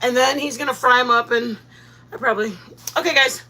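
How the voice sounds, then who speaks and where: A woman hosting a casual live cooking stream from her home kitchen, excitable, rambling and quick to giggle. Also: a little high.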